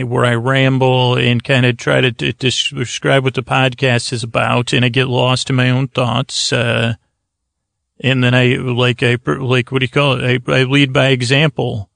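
Creaky, rambling man, dry close sound. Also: mid-range voice, fairly bright tone, dry recording. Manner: hesitant, halting, repeats and trails off mid-thought; self-deprecating. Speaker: sleep podcast host. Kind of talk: rambling, meandering bedtime monologue.

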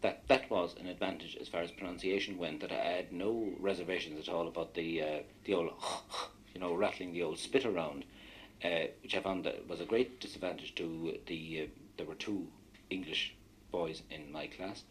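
A man's voice: deep voice, steady tone, normal speed, slight background noise, poor audio. An Irish man whose first language is Irish, telling rambling stories from his own experience in a radio discussion. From Ireland. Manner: anecdotal, rambling recollection. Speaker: guest.

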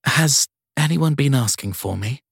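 A man's voice: medium pitch, expressive, unhurried, gravelly, husky, no room sound, clean studio sound, pitched above the performer's own voice, exaggerated voice. A man voicing a guarded, uneasy woman in an audiobook, speaking politely and with reserve. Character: guarded, uneasy woman guest hiding from her past. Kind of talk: audiobook character dialogue.

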